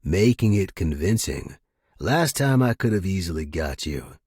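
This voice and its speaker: A man playing a big, gruff ex-military man in an audio story, weary and skeptical but gentle at heart. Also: low voice, very animated, measured pace, no echo, studio quality, voice pushed down, full character voice.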